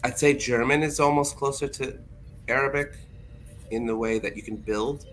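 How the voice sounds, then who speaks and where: A man's voice: medium pitch, steady tone, moderate pace, fairly bright tone, a little hiss or hum, low-quality recording. A man who works as a teacher, chatting casually on a podcast in a thoughtful, hesitant way and often correcting himself as he goes. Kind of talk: casual chat.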